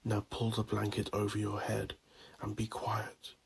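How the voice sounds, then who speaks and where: Gravelly, low-voiced man narrating horror. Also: monotone. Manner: ominous, theatrical, deliberate. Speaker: audio drama narrator and host. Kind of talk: dramatic horror fiction narration.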